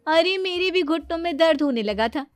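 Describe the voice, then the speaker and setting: A woman's voice: very high-pitched, expressive, moderate pace, dry recording, soft background music, pitched above the performer's own voice. A woman voicing a boastful young daughter-in-law in a cartoon, eager, insistent and self-assured. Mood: eager, confident. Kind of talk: exaggerated cartoon family-drama acting.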